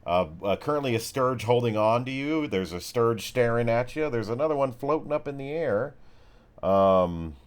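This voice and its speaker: A man's voice: mid-range voice, animated, moderate pace, smooth. A man acting as game master, improvising descriptive narration of a tabletop game mixed with informal, sweary chat.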